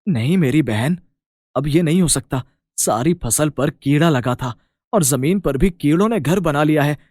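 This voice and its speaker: Higher male voice, dry sound, man acting humble farmer, caring elder brother, fretful, earnest, storybook cartoon. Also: lively intonation, normal speed, a little mellow, no echo. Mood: troubled.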